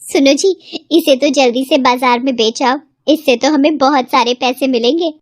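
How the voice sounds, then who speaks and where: High-pitched nagging farmer's wife, played by a woman. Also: steady tone, moderate pace, no room sound, studio quality. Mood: excited. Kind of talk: cartoon moral story dialogue.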